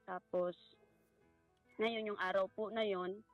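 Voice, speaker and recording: Halting Filipino woman over music. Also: medium pitch, little pitch movement, no room sound, lo-fi sound. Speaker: guest recounting a family story. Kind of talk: personal account in conversation.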